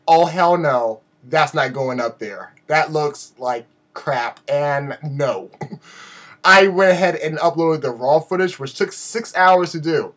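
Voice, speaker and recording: Slightly raspy, higher-pitched man vlogging. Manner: animated, rambling, self-deprecating, acts out own reactions. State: slightly raspy voice from a sore throat. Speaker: video blogger. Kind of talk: casual update vlog to camera.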